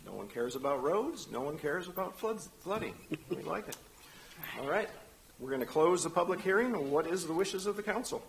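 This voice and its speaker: A man chairing a county council meeting in a council chamber, running hearings briskly with dry asides, speaking into a desk microphone. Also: a little high, lively intonation, creaky, slight background noise, rough recording.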